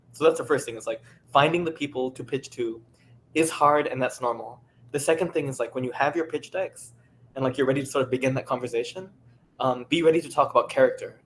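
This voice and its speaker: Brief: male panelist, mid-pitched, earnest and conversational, giving halting casual advice over some background noise. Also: rough recording.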